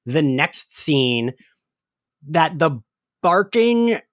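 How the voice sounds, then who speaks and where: A man's voice: fairly high pitch, very animated, extremely slow. A man hosting a podcast, chatting casually in a rambling, giggly way full of filler words. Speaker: podcast host. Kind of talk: casual chatty recap discussion.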